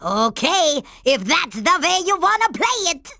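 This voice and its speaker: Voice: extremely high pitch, big pitch swings, measured pace, gravelly, sharp tone, dry recording, studio quality, exaggerated voice. A performer voicing a cocky, sassy cartoon kid operative in a video game, with a taunting delivery.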